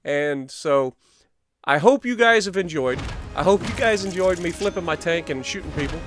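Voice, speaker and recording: A man's voice: on the high side, expressive, normal speed, fairly bright tone, soft background music. A young American man casually telling a story over tank game play in short, emphatic phrases, as host of a gaming video.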